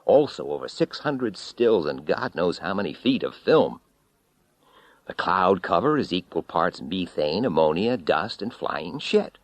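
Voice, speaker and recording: A man with a deep voice reads a first-person story dramatically. His intonation moves widely, brooding and expressive, with weighted pauses. The sound is very dry, with no room around it. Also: dry recording. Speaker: audiobook reader.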